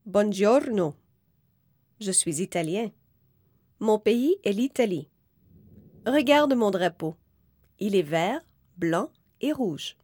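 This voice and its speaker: A woman reading a children's book aloud slowly and clearly, in careful, evenly spaced phrases. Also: medium pitch, expressive, darkish tone, no room sound, studio quality. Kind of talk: slow, clear read-aloud for young learners.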